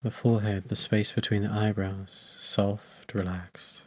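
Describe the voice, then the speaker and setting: A man leading a guided meditation as a teacher, in soothing phrases with long, unhurried pauses. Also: lowish voice, little pitch movement.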